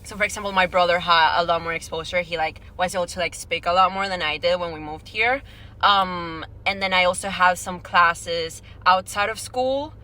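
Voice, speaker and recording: A woman's voice: on the low side, normal speed, sharp tone. A woman in her late teens from Venezuela, a native Spanish speaker, chatting casually as a podcast guest with lots of filler "like". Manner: chatty, peppers talk with "like". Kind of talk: casual conversational interview answers.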